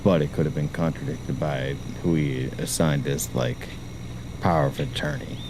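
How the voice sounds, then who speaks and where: A man's voice: very deep voice, normal speed. A man from the Boston area, chatting casually while gaming, filling his talk with "like" and explaining at length. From Boston area, USA. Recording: steady background noise.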